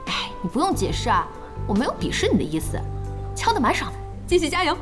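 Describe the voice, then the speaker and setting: Casting: playful young woman boss, high animated voice, inquisitive, radio drama. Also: fast talker. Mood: teasing. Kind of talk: Chinese radio drama acting. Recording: studio voice over faint music.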